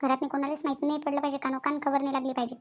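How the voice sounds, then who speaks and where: Woman doing fretful village ladies in a marathi family cartoon, vidarbha accent, high voice, talks quick and repeats herself. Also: little pitch movement, lightly acted. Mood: worried. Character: anxious village women in domestic family drama. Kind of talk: dramatised cartoon dialogue in colloquial speech.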